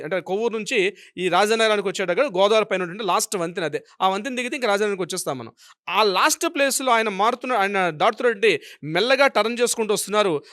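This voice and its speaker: Fast, high-pitched Telugu man explaining a case. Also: fairly bright tone, no echo, clean studio sound. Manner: emphatic, repeats himself and poses rhetorical questions. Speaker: advocate commenting as a legal expert. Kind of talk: explanatory legal news commentary.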